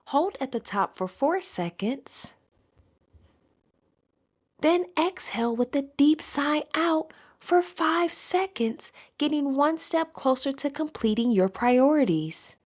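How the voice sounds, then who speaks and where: A woman leading a scripted guided meditation in a soothing, measured manner. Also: high voice, animated.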